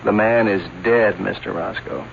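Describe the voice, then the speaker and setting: An actor playing a patient, persistent police lieutenant in a 1970s radio drama, politely probing in a measured, hesitant way. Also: male voice, fairly low pitch, steady tone, vocal fry. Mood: patient, insistent. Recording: muffled, faintly noisy old radio broadcast.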